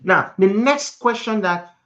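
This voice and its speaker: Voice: mid-range voice, expressive, measured pace, a bit bright, highs cut off. A speaker from Africa preaching a motivational sermon in an emphatic manner, repeating phrases and posing rhetorical questions.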